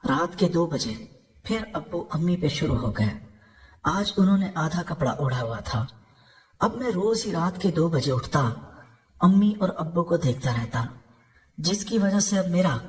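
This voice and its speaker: A man narrates a scripted story in the first person. His voice sits high for a male speaker and rises and falls in a lively way. A long reverb hangs over every phrase, as in a large hall. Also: measured pace.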